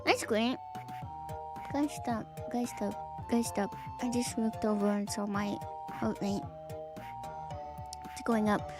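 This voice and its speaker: A woman's voice: mid-range voice, fairly even intonation, slow, long pauses, music in the background. A woman streamer reading viewer fanfics aloud on a live stream, playful and giggly, with teasing asides.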